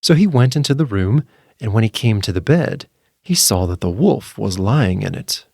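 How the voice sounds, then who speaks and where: Low-voiced, expressive male storyteller. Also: moderate pace, creaky, dry recording, clean studio sound. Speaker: narrator. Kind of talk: scripted fairy-tale narration.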